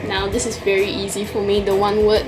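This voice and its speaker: A woman's voice: medium pitch, completely flat delivery, brisk, poor audio. Young Singaporean woman vlogger, casual question-tag chat, Singaporean English accent, music playing underneath. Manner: chatty, answers questions from a list.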